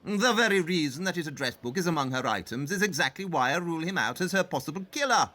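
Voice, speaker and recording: An actor playing a brilliant, haughty detective in an audio play, speaking in a pompous, deliberate and condescending manner. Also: male voice, fairly high pitch, lively intonation, pushed and bright. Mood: deducing, assured. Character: brilliant, haughty, dismissive male master detective. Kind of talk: theatrical audio play acting.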